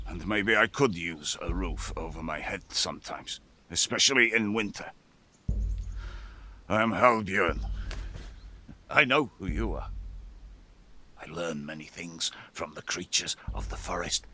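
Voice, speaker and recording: Game role: gruff lone woodsman, low and slow, wary, brooding, terse. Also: sharp tone, slight background noise, heavily acted. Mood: considering, resolute. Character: wary solitary forest hunter, gruff grown man. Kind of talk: dramatic video game acting.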